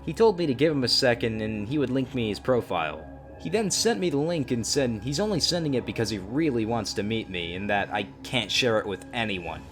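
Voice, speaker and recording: Male YouTuber, animated delivery, mid-pitched voice, storytelling host with light music behind. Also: brisk. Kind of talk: scripted story narration and confrontation.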